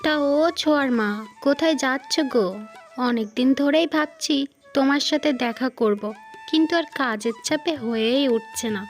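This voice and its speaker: A woman acts out an earnest village woman in a cartoon folktale. The voice is high-pitched and conversational, with a gentle manner. Music runs underneath. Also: normal speed. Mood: persuasive. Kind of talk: Bengali moral-story cartoon voice acting.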